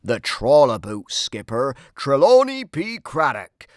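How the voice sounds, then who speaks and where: A man reading a rhyming children's story aloud in an animated, dramatic way. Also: medium pitch, slow, dry recording, clean studio sound. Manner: theatrical, dramatic delivery. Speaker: storybook narrator.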